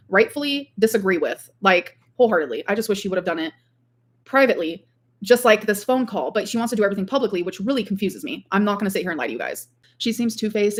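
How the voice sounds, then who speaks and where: A woman chatting casually on a livestream in a rambling way, peppering her talk with "like". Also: medium pitch. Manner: rambling, frequent "like". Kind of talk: casual livestream chat, reading out viewer comments.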